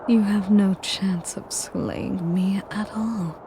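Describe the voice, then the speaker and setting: A woman playing an ancient, sadistic female air dragon in a dramatic audio roleplay, breathy and whispery, speaking deliberately with haughty, menacing condescension. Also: mid-range voice, fairly even intonation, background noise. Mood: taunting, condescending. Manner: slow, deliberate, condescending, taunting. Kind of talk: dramatic scripted roleplay monologue.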